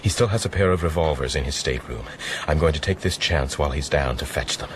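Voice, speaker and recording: Conscientious castaway, radio drama: very low register, earnest, terse, background noise. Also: male voice, fast talker. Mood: tense, resolute. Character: earnest, wary castaway man, conscientious and resolute. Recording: noisy outdoor ambience behind.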